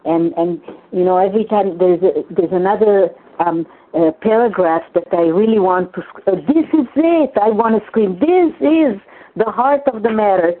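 A woman whose first language is Hungarian, sharing her story at a recovery meeting in an earnest, halting way with many restarts. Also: medium pitch, expressive. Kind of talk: personal share at a recovery phone meeting. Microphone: phone. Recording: tinny phone line.

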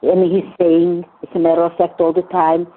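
An older woman whose first language is Hungarian speaks on a tinny phone line. Her voice sits a little low, and she talks haltingly and earnestly, repeating words as she shares. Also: flat delivery. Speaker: meeting participant sharing. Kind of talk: personal share in a phone recovery meeting.

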